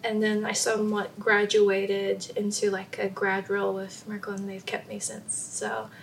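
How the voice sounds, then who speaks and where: Guest: young woman, gravelly, soft-spoken, light hum behind. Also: medium pitch, completely flat delivery, moderate pace, rough recording. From Samoa. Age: young adult. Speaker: podcast guest. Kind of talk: casual interview talk.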